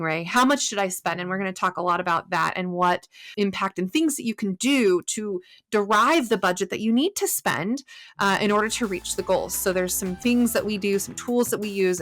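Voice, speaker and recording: A mid-pitched woman hosts a podcast, explaining in a lively, talky way and often checking in with a quick "right?". Her voice is close and dry, with no room sound. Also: no room sound. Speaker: podcast host. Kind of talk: podcast teaching and interviewing.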